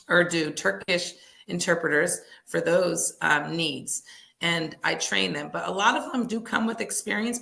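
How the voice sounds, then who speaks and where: A woman's voice: on the low side, creaky, bright, forceful tone. A middle-aged woman founder answering interview questions in an earnest, explanatory way with frequent restarts. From United States. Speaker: language services founder.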